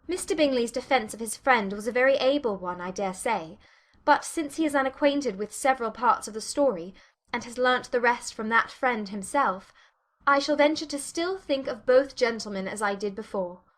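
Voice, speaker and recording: A woman voicing a spirited, candid young gentlewoman in a dramatized classic novel, articulate and politely challenging. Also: a little high, moderate pace, lightly acted. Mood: firm, sceptical.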